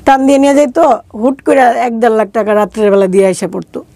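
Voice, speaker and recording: Female interview guest, regional Bangladeshi accent, soft dark tone, close dry sound, colloquial storytelling. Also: fairly high pitch, steady tone, fast talker, dry recording. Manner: colloquial, acting out remembered dialogue. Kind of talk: conversational personal life-story telling.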